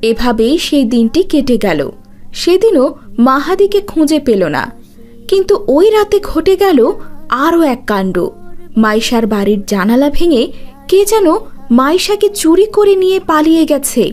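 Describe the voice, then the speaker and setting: A woman's voice: high-pitched, big pitch swings, normal speed, darkish tone, faint music behind. A woman narrating a scripted cartoon story dramatically, phrase by phrase.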